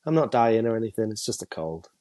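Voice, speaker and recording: A man's voice: on the low side, big pitch swings, fast talker, mellow. Middle-aged man with a cold. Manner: chatty, self-mocking, laughs often. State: stuffy nose from a cold. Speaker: co-host. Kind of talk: casual chat.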